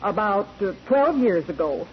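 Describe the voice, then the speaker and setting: An actress playing a middle-aged widowed boarding-house keeper in a 1940s radio drama, careful and matter-of-fact. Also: female voice, mid-range voice, big pitch swings, unhurried. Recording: noisy old 1940s radio recording.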